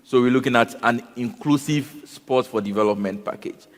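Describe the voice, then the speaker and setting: A man speaks on a conference panel in a mid-pitched voice. He halts often and restarts his phrases. A long reverb from the hall trails after his words. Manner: halting, repeats words and fills with "you know" and "um". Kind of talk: panel discussion.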